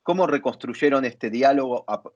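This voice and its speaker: Casting: man as arts interviewer, mid-pitched, exploratory and halting, long questions; slightly muffled recording. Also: dry recording. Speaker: interviewer, theatre dramaturge.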